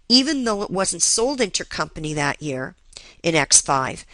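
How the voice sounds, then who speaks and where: Woman, lowish pitch, accounting instructor, didactic step-by-step explanation, dry room-free sound. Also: bright, forceful tone, dry recording, poor audio. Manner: didactic, checks in with "right?" and reminders. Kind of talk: explanatory lesson walkthrough.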